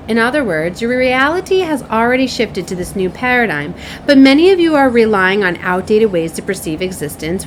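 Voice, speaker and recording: Effusive woman card reader, noisy recording. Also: medium pitch. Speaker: tarot and oracle card reader. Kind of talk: informal spiritual card reading, partly read aloud. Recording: clearly noisy background.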